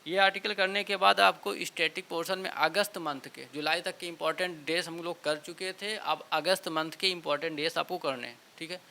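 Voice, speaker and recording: A man's voice: on the high side, steady tone, brisk, few pauses, pushed and bright. A man giving informal exam-prep advice to students, with frequent check-in tags in a colloquial manner. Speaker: exam coaching teacher and channel host. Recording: clear background hiss.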